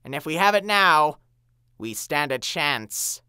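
A woman voicing a self-assured, warmongering pony queen in a cartoon parody, grandly confident yet evasive. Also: deep voice, animated, slow, clean tone, no echo, clean studio sound, exaggerated voice. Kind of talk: animated parody dubbing.

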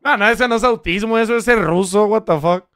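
A man's voice: high voice, fairly quick. A Mexican man streaming, reacting to clips in joking, crude casual commentary.

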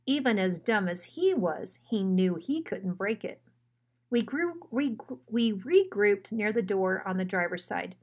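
A woman's voice: mid-range voice, very animated, a little slow. A woman narrating a children's story expressively, as a school principal reading aloud.

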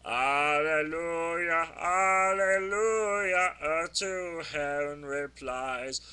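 Male carol singer, fairly high, smooth and resonant, very unhurried phrasing. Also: bright, forceful tone. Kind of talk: slow melodic carol singing, unaccompanied.

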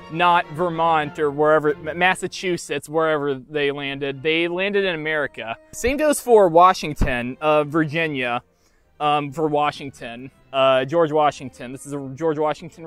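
A young man with a fairly high voice rambles through a toy unboxing, cracking deadpan jokes and stumbling over fillers. He's recorded on a lapel mic outdoors by a field. Soft music plays faintly underneath. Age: young adult. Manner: rambling, self-mocking, halting with many fillers. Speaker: YouTuber unboxing toys. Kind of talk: jokey deadpan unboxing vlog.

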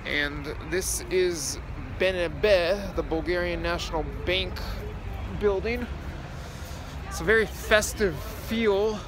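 A man's voice: sits high, takes it slowly, background noise. A young man chatting to his phone camera held at arm's length as he walks through a city square at dusk, giving a casual tour. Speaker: vlogger. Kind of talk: casual walk-and-talk vlog.

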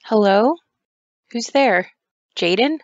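Woman as a high-voiced schoolgirl, dull recording. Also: expressive, slow pace, no echo. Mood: alarmed. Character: jumpy, casual schoolgirl. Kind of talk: audio play acting.

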